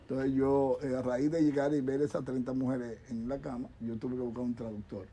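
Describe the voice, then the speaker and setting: A man's voice: mid-range voice, level tone, moderate pace, warm tone, slightly rough. A middle-aged man with a Dominican accent, a doctor speaking as a guest on a talk show panel, rambling with many restarts and repeated words. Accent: Dominican Spanish. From Dominican Republic.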